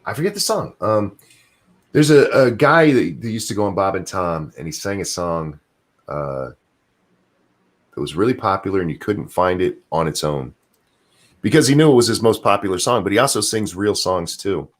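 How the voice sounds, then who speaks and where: A man with a very deep voice, co-hosting a casual livestream chat, rambling and swearing freely while he hunts for names he has forgotten. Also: expressive, normal speed. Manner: profane, rambling, trails off searching for names. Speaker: podcast co-host.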